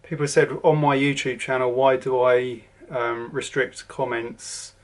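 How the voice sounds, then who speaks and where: A man's voice: medium pitch, steady tone, measured pace. A man in his forties from southern England with a southern English accent, giving a casual, rambling to-camera vlog update with lots of ums and restarts. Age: 40s. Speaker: vlogger.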